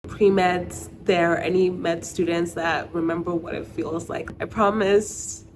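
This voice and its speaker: A woman's voice: on the low side, steady tone, unhurried, background noise. A young woman from Nigeria in a casual, reflective vlog, chatting with lots of "like". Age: young adult. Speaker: vlogger. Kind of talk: casual talking-head vlog.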